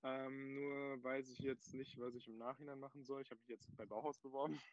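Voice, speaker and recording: Teen male guest, mid-pitched, bashful and giggly, lo-fi dry sound. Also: little pitch movement, normal speed, no echo. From Germany. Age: late teens. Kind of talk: casual chat.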